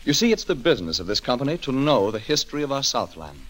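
A man's voice: medium pitch, sing-song, quick pace, a bit bright. An American man narrating a 1940s radio drama as its host, in a dramatic, declamatory scripted style. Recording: old 1940s radio broadcast.

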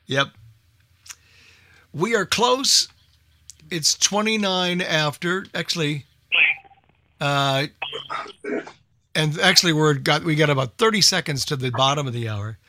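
Male group host, informal and halting, bright sharp tone, dry sound. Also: fairly high pitch, animated, no echo. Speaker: host organizing an online group session. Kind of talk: casual chat.

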